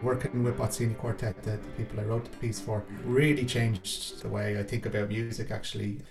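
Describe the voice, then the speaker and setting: A man's voice: fairly low pitch, level tone, fairly quick, creaky. A man who is a composer talking reflectively about his own work in a hesitant, thoughtful way. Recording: roomy echo, faint music behind.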